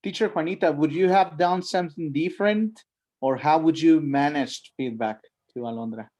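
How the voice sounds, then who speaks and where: A man with a fairly high voice leads an online training session. He is polite and brisk, calling on people and thanking them. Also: a little mellow, dull audio. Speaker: teacher trainer leading the session.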